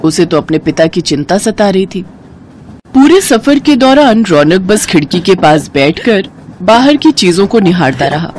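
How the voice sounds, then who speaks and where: A woman narrating a scripted moral story in an expressive storytelling manner. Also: medium pitch, unhurried, slight background noise.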